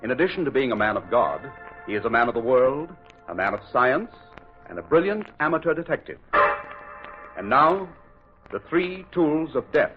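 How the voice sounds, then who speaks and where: A man's voice: mid-range voice, lively intonation, moderate pace. A man narrating a radio drama in a formal, theatrical announcer style. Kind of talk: old-time radio drama scripted narration. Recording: scratchy narrow-band vintage radio recording. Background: organ music.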